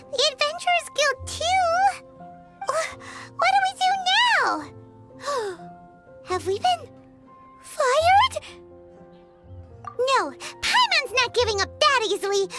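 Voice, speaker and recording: A woman voicing a tiny, cheerful childlike fairy companion in a video game, squeaky, eager and dramatic. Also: slow pace, pauses often, loud music behind the voice, exaggerated voice. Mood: dismayed, determined. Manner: refers to herself in third person, expressive. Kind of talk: video game character acting.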